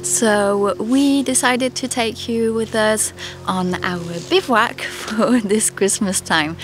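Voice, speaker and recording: A woman's voice: mid-range voice, fairly bright tone. Woman, thirties, casual vlog, arm's-length camera, outdoors, music behind. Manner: friendly, chatty, with small laughs and fillers. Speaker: vlogger, mother. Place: outdoors in a winter forest by a car. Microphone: camera held at arm's length.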